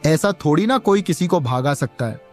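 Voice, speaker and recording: A man's voice: a little high, lively intonation, normal speed, a little mellow, faint music behind, close to an everyday voice. A man voicing a poor, patient village pot seller and father in a cartoon, earnest and plaintive. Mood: protesting. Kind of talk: Hindi cartoon story dialogue.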